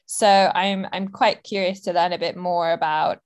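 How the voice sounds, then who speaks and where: A woman's voice: lowish voice, steady tone, normal speed, smooth. A woman from Hong Kong hosting an interview, asking curious, upbeat questions. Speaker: podcast host.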